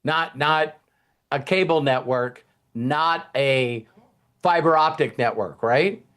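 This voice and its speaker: A man's voice: a little high, takes it slowly, a bit bright, smooth. A man hosting a casual motivational talk in a rambling, folksy, anecdotal way. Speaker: host of a motivational team talk.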